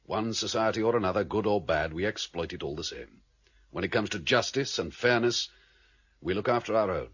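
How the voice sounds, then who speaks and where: An actor in a radio drama playing a grave, commanding male leader of an underworld council, speaking formally and with measured authority. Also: fairly low pitch, fairly bright tone, breathy edge. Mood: measured, guarded. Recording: dull, band-limited radio drama recording.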